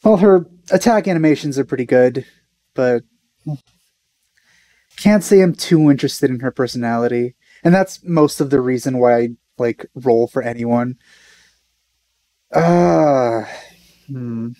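A man's voice: mid-range voice, a little slow, long pauses, dark tone, no room sound. A young man giving casual, understated reaction commentary as a video host, musing and hesitant as he goes. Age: young adult.

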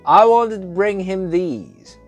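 Man putting on a rude kid's voice, high and loud, brash, crude, taunting, storybook reading, faint music behind. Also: slow, highs cut off. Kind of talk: audiobook reading.

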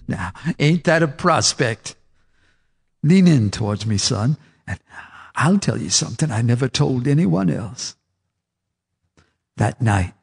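Old haunted farmer, husky drawl, confiding, reverberant radio drama, music under. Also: male voice, medium pitch, full character voice. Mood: wry. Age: older. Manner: confiding, eerie monologue. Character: old male farmer haunted and half-mad.

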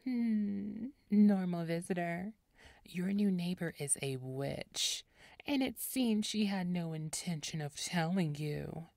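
A woman voicing an imperious vampire boss in an audio roleplay, commanding, condescending and deliberate. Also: fairly low pitch, vocal fry, a little mellow, dry recording, studio quality. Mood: imperious, menacing.

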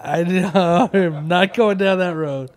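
A man's voice: sits high, slow. An American man in his thirties bantering casually as a comedy podcast co-host, speaking into a handheld mic. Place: small wood-panelled podcast room, indoors. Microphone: handheld microphone held close.

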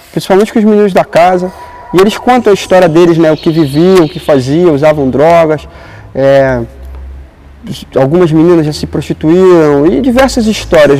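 Brazilian man, late 20s, dance teacher, relaxed fill-laden storytelling on lapel mic outdoors, slightly high voice. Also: a little hiss or hum, poor audio. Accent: Brazilian Portuguese. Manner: relaxed storytelling with frequent fillers. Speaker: dance teacher and company director. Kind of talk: documentary interview. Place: outdoors in front of a painted mural.

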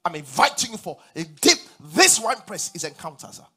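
A man in his forties with a Nigerian accent, preaching a sermon on a large church stage, animated and rising to loud emphatic declarations. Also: sits high, a little fast. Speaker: pastor.

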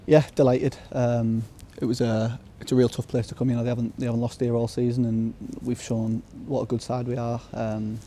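A man's voice: medium pitch, level tone, a little fast, hardly any pauses, warm tone, a little hiss or hum. A young footballer in his twenties, giving a modest, upbeat post-match interview outdoors at the ground at night, with lots of fillers and restarts.

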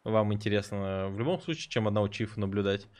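A man streaming a game live, chatting with viewers in a rambling way and reacting loudly to the action. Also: on the low side, quick pace, slight background noise. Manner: excitable, rambling, swears, jumps between chatting and reacting to the game. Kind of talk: live gameplay commentary and chat.

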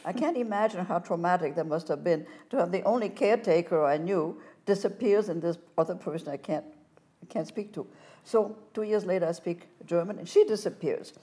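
An older woman telling her life story as a guest in a studio interview, animated and gesturing as she talks, on a lapel mic. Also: on the low side, fairly quick. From France. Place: studio set, seated in armchair.

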